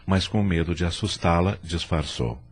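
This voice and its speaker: A man's voice: low voice, moderate pace, husky. A man with a Brazilian accent giving scripted, dramatic narration for an audio novela.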